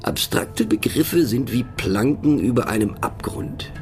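An actor playing a pensive, mocking narrator in a radio play, musing rhetorically on abstract words and addressing the listener in wry asides. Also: male voice, mid-range voice, expressive, measured pace, a little mellow, soft background music, natural voice.

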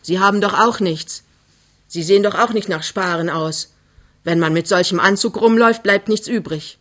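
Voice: mid-range voice, brisk, pushed and bright, lightly acted. A woman voicing a harsh, suspicious old working-class mother in an audiobook, blunt and scolding with angry outbursts. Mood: angry, distrustful.

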